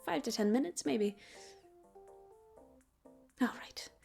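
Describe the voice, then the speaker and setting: A woman voicing a teasing yet tender female demon in an intimate roleplay ASMR style, soft and breathy, often near a whisper. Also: fairly high pitch, animated, slow pace, heavily acted. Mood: gentle, soothing. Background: music.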